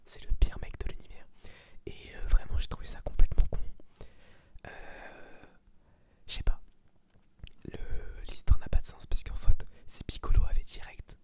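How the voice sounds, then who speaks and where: An eighteen-year-old man gives an informal ASMR review in a breathy whisper, slangy, sweary and blunt. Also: moderate pace, long pauses. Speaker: ASMR creator reviewing a film.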